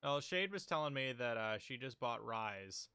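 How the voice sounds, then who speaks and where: A man's voice: medium pitch, expressive, moderate pace, sharp tone, clear, resonant tone, no room sound, studio quality. A man streaming a video game from home on a desk mic, chatting casually and rambling with fillers and little jokes. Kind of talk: casual live-stream chat. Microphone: desk microphone.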